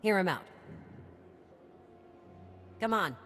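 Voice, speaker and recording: An actress playing a wry, no-nonsense smuggler in a video game, talking in a dry and blunt way. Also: female voice, mid-range voice, lively intonation, slow, background noise. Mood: wry, reluctant. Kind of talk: video game cutscene acting.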